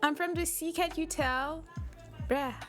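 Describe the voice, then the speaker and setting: A woman's voice: sits high, fairly even intonation, unhurried, fairly bright tone. Chatty Quebec-accented woman streamer. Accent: Quebec French. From Quebec, Canada. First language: French. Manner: chatty, laughs often, replies to chat messages. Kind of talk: casual livestream chat with viewers. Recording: music playing behind. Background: background music.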